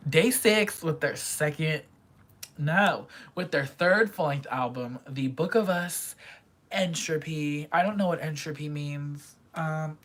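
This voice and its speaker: A young woman casually reviewing pop albums in a vlog, chatty and gushing, sometimes dropping to a whisper. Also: sits low. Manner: chatty fangirl, gushing, drops into quiet whispers.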